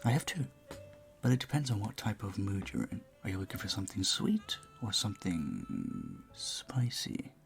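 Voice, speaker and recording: A man's voice: lowish voice, big pitch swings, normal speed, gravelly, soft background music. An actor playing a charming, flirtatious vampire bartender in an intimate audio roleplay, suave and teasing as he speaks to the listener. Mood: suave, playful.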